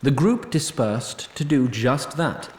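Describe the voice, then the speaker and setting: A roomy-sounding actor as a male storyteller narrates a fantasy audio drama. He is formal, precise and dryly pedantic, with pitch that swings widely for emphasis. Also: animated, takes it slowly. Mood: wry, formal. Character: wry, pedantic, faintly exasperated storyteller of a fantasy tale.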